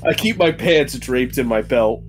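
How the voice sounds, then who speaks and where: A man of middling pitch chats as a player in a tabletop game. His banter is casual and wryly joking. Faint music sits behind his voice. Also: animated, unhurried.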